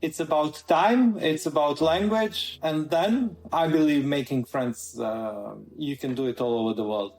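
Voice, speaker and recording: A man's voice: fairly high pitch, measured pace, few pauses, a little mellow, echoey room, poor audio. A man from Croatia with a Croatian accent, a guest in a conversational interview, earnestly laying out his points in order.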